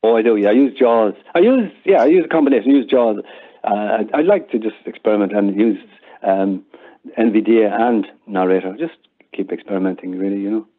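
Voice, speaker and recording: A man's voice: lowish voice, brisk. An older Irish man chatting casually and reminiscing as an interview guest, often repeating words as he goes. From Ireland. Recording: muffled, phone-like narrowband line.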